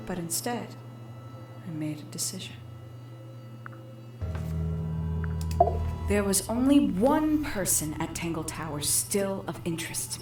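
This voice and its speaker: A woman playing a calculating young villain in a video game, confessing her schemes in measured, deliberate, theatrical storytelling. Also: on the low side, lightly acted. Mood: brooding, measured. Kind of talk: scripted video game character monologue. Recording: echoey, with music behind.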